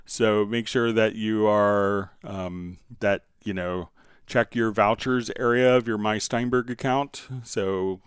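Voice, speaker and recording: A man with a fairly low, clear and smooth voice hosts a casual software livestream. He explains steps conversationally as he answers viewers. The sound is close and dry. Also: fairly even intonation, slow, no echo. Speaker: livestream host, software instructor. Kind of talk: casual live tutorial, answering viewer questions.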